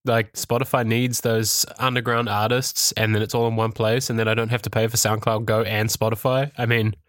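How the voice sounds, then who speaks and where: A man's voice: lowish voice, little pitch movement, brisk, a bit bright, dry recording, studio quality. A man hosting a casual tech podcast, stuffed up from a cold, chatty and laughing often. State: congested with a cold. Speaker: podcast host. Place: Europe.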